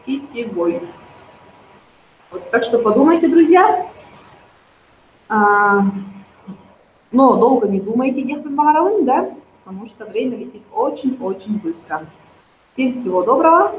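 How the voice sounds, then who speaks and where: A woman's voice: a little high, very animated, unhurried, pauses often, slight background noise. Woman, 30s, persuasive promoter; dull, roomy home recording. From Yakutia. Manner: persuasive, addresses viewers directly. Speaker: network marketing promoter. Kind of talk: persuasive talk to viewers.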